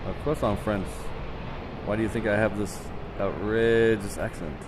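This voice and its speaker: A man quoting a mocking male French taunter, with loud traffic behind him outdoors. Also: on the low side, moderate pace, natural voice. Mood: taunting. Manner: jeering quoted comedy lines. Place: outdoors beside an airport road.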